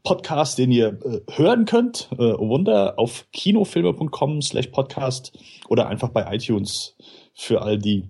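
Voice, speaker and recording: Husky, rambling male podcast guest. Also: medium pitch, very animated, a little slow, dark tone. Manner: rambling, self-deprecating, long nested sentences. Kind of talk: casual chat.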